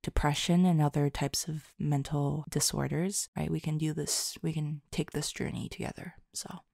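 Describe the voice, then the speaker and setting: A woman talking casually and from the heart to her listeners, halting and self-deprecating, in an informal way. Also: low voice, little pitch movement, creaky, darkish tone, dry recording, clean studio sound. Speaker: audio roleplay and ASMR creator.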